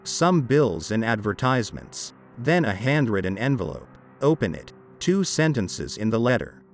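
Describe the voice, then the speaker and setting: A man reading a scripted horror story as narrator, over dark background music. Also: medium pitch, big pitch swings, moderate pace. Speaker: narrator of creepy internet stories. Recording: dark ambient music behind.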